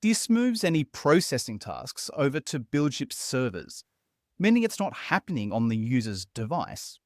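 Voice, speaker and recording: A man hosting a software tutorial as a scripted voice-over, explaining steps in an upbeat, conversational way. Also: medium pitch, sing-song, moderate pace, dry recording, clean studio sound. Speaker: tutorial host.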